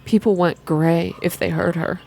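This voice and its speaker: A woman voicing a shy, wistful man telling stories in a quiet, hesitant, calming manner, in an ASMR game reading. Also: fairly low pitch, moderate pace, vocal fry, a little hiss or hum.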